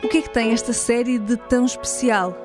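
A woman's voice: on the high side, steady tone, fairly quick, music in the background. A woman with a European Portuguese accent hosting a podcast, reading a scripted documentary narration. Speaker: podcast host.

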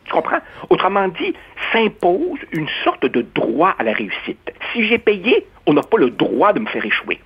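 A man from Uruguay speaking as a radio columnist, measured and expressive with stammering restarts. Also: sits high, slightly rough. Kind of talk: opinionated radio debate. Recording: dull lo-fi radio sound.

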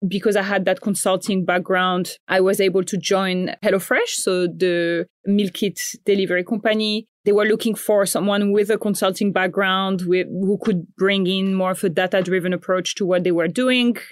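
A woman's voice: medium pitch, fairly even intonation, normal speed, hardly any pauses, dry recording. Moroccan-raised woman, dry interview mic. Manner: thoughtful, frequent restarts and fillers. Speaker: founder and guest. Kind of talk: casual interview chat.

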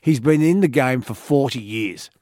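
A middle-aged man hosting a talk radio show, gruff and teasing. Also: mid-range voice, expressive, normal speed, a little mellow, no room sound, clean studio sound. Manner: gruff, teasing, self-mocking. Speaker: radio host.

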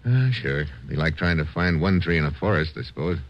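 An actor playing a tough, wry American adventurer in a 1950s radio drama, narrating and talking in terse, deadpan lines. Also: male voice, a bass voice, brisk, muffled recording. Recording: old radio recording with music.

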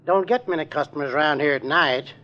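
A slightly high man's voice, slow and folksy, as a lonely gas station attendant on a deserted road, played by an actor in a 1940s radio drama. He drawls his answers in an easygoing way. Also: highs cut off, natural voice.

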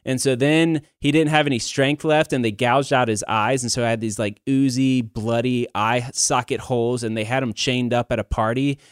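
A man's voice: medium pitch, fairly even intonation, moderate pace, hardly any pauses, smooth, no echo, studio quality. A man in his thirties hosting a casual podcast, talking in a rambling, candid, self-deprecating way. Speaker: podcast host. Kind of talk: casual conversational podcast talk.

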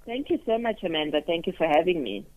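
A woman's voice: fairly low pitch, lively intonation, fast talker, dry recording. A woman from Johannesburg, chatting upbeat as a guest on a radio show. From Johannesburg, South Africa. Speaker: guest, business founder. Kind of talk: casual phone interview. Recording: tinny phone line.

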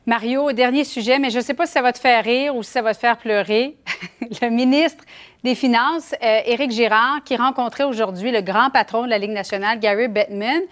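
A woman hosting a talk show, briskly prompting her guest with questions. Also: on the high side, fairly bright tone. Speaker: show host. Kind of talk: casual talk show interviewing.

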